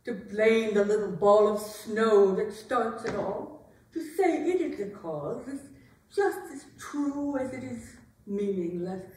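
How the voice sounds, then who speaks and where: A woman's voice: mid-range voice, animated, slow, a little mellow. A woman acting a proud, sardonic, wounded queen in a staged dramatic reading, with a dry, pointed theatrical delivery. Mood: wounded, wistful. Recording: roomy, echoing hall, distant microphone.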